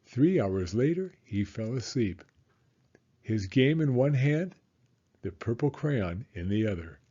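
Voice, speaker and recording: A man reading a children's story aloud in a theatrical, suspenseful way with drawn-out emphasis. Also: mid-range voice, lively intonation, dark tone, breathy edge, muffled recording.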